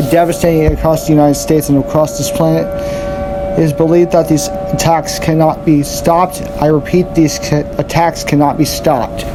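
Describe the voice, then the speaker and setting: A man reads a scripted mock emergency broadcast as the announcer, solemn and repetitive, sometimes stumbling over his words. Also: a little high. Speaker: emergency alert announcer in a fan-made scenario. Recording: noisy amateur recording with loud background noise.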